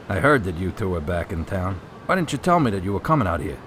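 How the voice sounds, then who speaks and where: Guy playing skeptical folksy cop in a radio drama, lowish voice, really swoops around, bit of rumble behind. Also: big pitch swings, quick pace, fairly bright tone. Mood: questioning. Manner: casual, plain-spoken. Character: skeptical, folksy male police officer.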